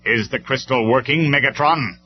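A man's voice: mid-range voice, normal speed, voice pushed up, natural voice. A man voicing a noble robot commander in a storybook reading, speaking in a stern, formal, declamatory way. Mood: icy. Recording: dull lo-fi recording with music.

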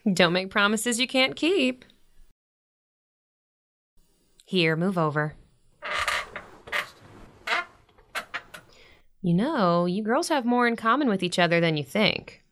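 Actress as a warm mom in an audio drama, middle aged, teases gently, earnest when she gives advice. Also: mid-range voice, expressive, normal speed, noisy background, natural voice. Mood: gently teasing. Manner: gentle, earnest, motherly advising. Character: warm, gently teasing middle-aged mother of teenage daughters. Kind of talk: audio drama acting, naturalistic dialogue.

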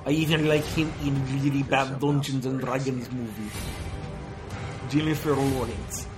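A man's voice: mid-range voice, steady tone, unhurried, music in the background. Rambling male podcast host. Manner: rambling, with many fillers and self-corrections. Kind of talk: casual chat.

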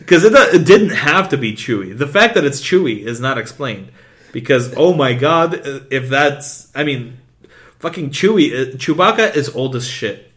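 A male podcast co-host chatting loosely and excitably, full of swearing and quick asides. English is not his first language, and he talks at a middle pitch. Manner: swears constantly, rambling and excitable. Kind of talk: casual chat.

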